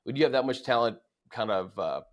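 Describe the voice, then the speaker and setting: Man, American accent, medium pitch, sports podcast host, conversational recap, emphatic phrasing, casual delivery. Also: expressive, a little fast, lo-fi sound.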